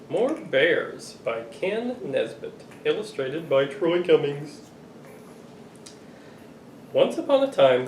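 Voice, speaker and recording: A middle-aged man reading a picture book aloud to children, expressive and playfully exasperated. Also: a little high. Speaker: father reading to his children. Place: living room sofa, indoors. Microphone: camera a few feet away. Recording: roomy, distant home recording with noise.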